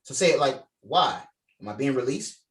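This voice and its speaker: A man's voice: mid-range voice, expressive, normal speed, a bit bright. A man directing a script table read on a video call, switching between reading stage directions and coaching actors. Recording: distant mic on video call.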